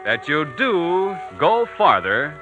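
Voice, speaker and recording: A man's voice: fairly high pitch, very animated, slow, highs cut off, over music. A man announcing for a radio sponsor in polished, salesmanlike 1940s style. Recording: vintage 1940s radio broadcast recording.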